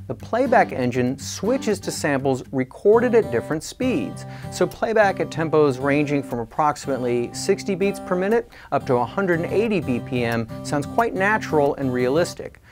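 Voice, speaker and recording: Male product reviewer for a scripted instrument demo: animated intonation, clear explanatory delivery, music underneath. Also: fairly high pitch, normal speed, hardly any pauses. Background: music and instrument demos.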